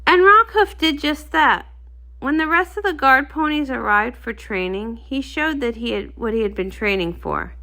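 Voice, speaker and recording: A woman reading a storybook aloud as an expressive narrator. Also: high voice, normal speed.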